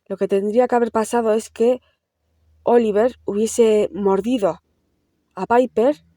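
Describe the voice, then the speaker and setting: A woman's voice: on the high side, steady tone, measured pace, warm tone, no room sound, clean studio sound. A woman explaining a film plot to her viewers in a casual, conversational way. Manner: explanatory, talks directly to viewers with frequent restarts. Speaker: film reviewer. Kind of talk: casual film analysis to camera.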